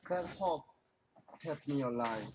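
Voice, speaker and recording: Young adult male. Measured, earnest prepared speech. Faint background noise. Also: on the high side, lively intonation. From North Korea. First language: Korean.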